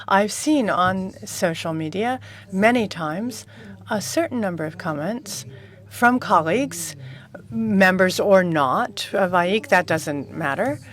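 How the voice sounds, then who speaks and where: Woman interpreting a panel, measured tone. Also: medium pitch. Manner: measured, formal, relays several speakers in first person. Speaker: conference interpreter. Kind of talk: simultaneous interpreting of a panel discussion. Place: interpreter booth at a conference.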